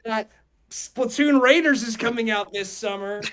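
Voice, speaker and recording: Podcast host, male, exceptionally high-pitched voice, excitable, slangy, joking around in loose conversation. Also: a little slow, a little hiss or hum. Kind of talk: casual chat.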